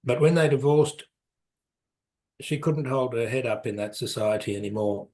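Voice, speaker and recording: A man's voice: mid-range voice, normal speed, a little mellow. A man, telling his life story as an interview guest, rambling and correcting himself with light chuckles. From South Africa, raised in New Zealand. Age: 70s.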